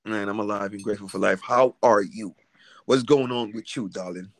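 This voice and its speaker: A Haitian-born man with a fairly low voice hosts a relaxed podcast interview. He talks casually and affirms his guest often, calling her darling. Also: normal speed. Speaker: podcast host.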